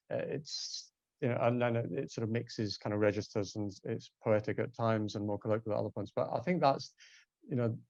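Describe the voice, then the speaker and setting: A man's voice: mid-range voice, darkish tone, no echo, highs cut off. A man speaking as a translator in a panel discussion, musing and rephrasing as he thinks.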